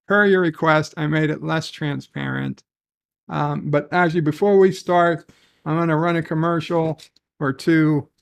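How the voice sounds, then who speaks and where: Slow gravelly New man. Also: fairly high pitch, steady tone, no room sound, studio quality. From New York City. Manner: rambling, slow, self-correcting. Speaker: stream host. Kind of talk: casual chat reading viewer messages.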